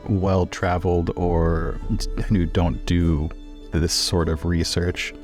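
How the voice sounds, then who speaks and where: A man's voice: low-pitched, level tone, slow pace, darkish tone, soft background music. A man playing a tabletop roleplaying game, switching between casual game chat and in-character talk.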